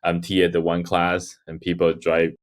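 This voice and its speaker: Podcast guest, man from Taiwan, very low voice, chatty and loose. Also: monotone, normal speed. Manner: rambling, many "like" fillers, laughs often. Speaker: guest, design researcher and teaching assistant. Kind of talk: casual interview chat.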